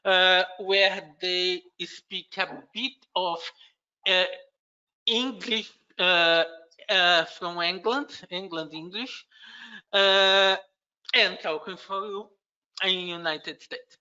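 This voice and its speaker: Slow Brazilian-accented man giving a tech talk. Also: high voice, fairly even intonation, bright, forceful tone, smooth, lo-fi sound. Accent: Brazilian Portuguese. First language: Portuguese. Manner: unhurried, halting explanation with frequent "uh" fillers and restarts. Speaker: conference speaker. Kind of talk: technical conference presentation.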